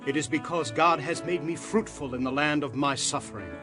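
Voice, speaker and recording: A man's voice: a little high, a little fast, over music, lightly acted. An actor playing an authoritative governor in his thirties, speaking formally and emphatically in dramatized scripture. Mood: grateful. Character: stern, authoritative male governor Joseph, thirties.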